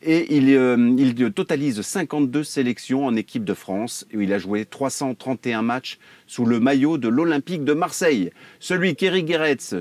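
A man's voice: medium pitch, a little mellow, no room sound. A man in his forties, a studio host introducing a guest in an upbeat way, wearing a lapel mic. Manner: upbeat introduction, reading a list. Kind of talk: studio presenting. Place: dark television studio.

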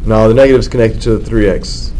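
A man's voice: fairly low pitch, steady tone, quick pace, warm tone, slight background noise. A man teaching a math lesson in a casual, conversational way, often quizzing his students and repeating words as he explains.

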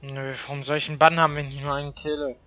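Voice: mid-range voice, little pitch movement, very fast, sharp tone, dull audio. A player chatting casually and trash-talking during an online video game. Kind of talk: casual gaming chat with trash talk.

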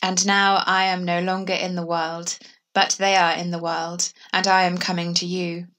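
A woman reading the gospel aloud in an even, measured way. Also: lowish voice, recorded from a distance. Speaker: gospel reader.

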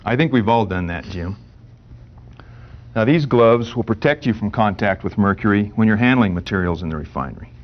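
A man in his forties with an American accent playing an earnest, measured safety trainer in a scripted industrial training film. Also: fairly low pitch, animated, natural voice. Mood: earnest, instructive. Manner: instructive, measured, explanatory. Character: earnest, knowledgeable male mine safety trainer. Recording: dull audio under background music.

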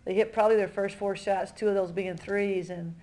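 Middle-aged woman, coach, soft-edged mellow tone, mid pitch, conversational, halting phrasing, postgame press remarks. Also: steady tone. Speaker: college basketball head coach. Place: indoor press room in front of a backdrop.

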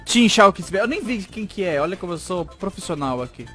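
A man's voice: sits high, animated, a little fast, a bit bright, soft background music. A man in his twenties with a Brazilian Portuguese accent, casually reading letters aloud and commenting in an informal, stop-and-start way. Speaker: YouTube host reading fan mail. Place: small home room with green screen, indoors. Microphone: desk microphone with foam cover, close.

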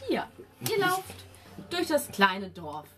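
A woman's voice: mid-range voice, huge pitch swings, slow, distant mic, noisy background. A woman narrating a tabletop role-playing game casually, as the game master.

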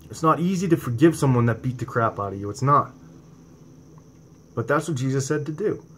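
A man with a New England accent delivers an informal sermon into a webcam at home, his voice at an ordinary middle pitch. He presses hard on his points, then settles into quieter talk. Crickets chirp faintly outside the room. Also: expressive, a little fast, a little hiss or hum. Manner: impassioned, rising to near-shouts on emphasis, then quieter and conversational. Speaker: religious video host. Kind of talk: informal preaching to camera. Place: home room indoors at night. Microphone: webcam or laptop camera from below.

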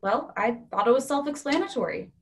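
A young woman playing a matter-of-fact, gently stubborn partner in an absurdist play reading over a video call. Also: sits high, animated, brisk. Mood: calm, matter-of-fact.

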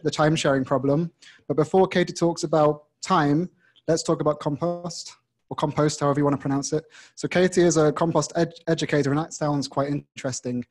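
A man with a fairly high voice hosts a live meetup. He introduces things casually, with a chatty, joking manner aimed at the room. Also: darkish tone. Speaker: meetup host. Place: meetup room before a seated audience.

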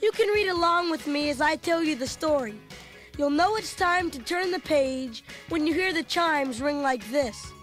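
An actor voicing an excitable young boy who narrates a storybook read-along in an earnest, dramatic manner. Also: high voice, normal speed, faint music behind. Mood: eager storytelling.

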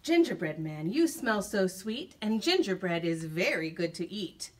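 A woman doing a low, drawling cow. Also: sing-song, a little slow. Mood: admiring. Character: slow, low-voiced farm cow.

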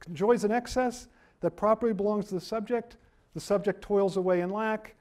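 A middle-aged man giving a university lecture, animated and self-deprecating, losing his voice, recorded by a camera at a distance in a wood-paneled room. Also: sits high.

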